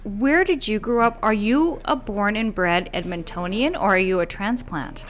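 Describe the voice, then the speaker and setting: A woman's voice: mid-range voice, moderate pace. A woman with a Canadian accent co-hosting a podcast in a friendly, conversational style. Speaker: podcast co-host. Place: coffee shop.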